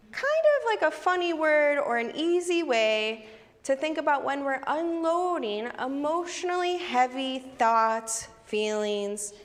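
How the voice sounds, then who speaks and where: A woman's voice: sits high, full character voice. A woman voicing a cheerful, helpful raccoon puppet who explains things in an encouraging, teacherly way during a children's time in church. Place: church sanctuary. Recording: reverberant sanctuary sound.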